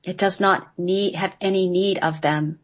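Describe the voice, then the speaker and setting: Female guest reader with a lowish voice, reciting mystical scripted prose solemnly. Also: steady tone, measured pace. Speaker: guest reading a script she wrote.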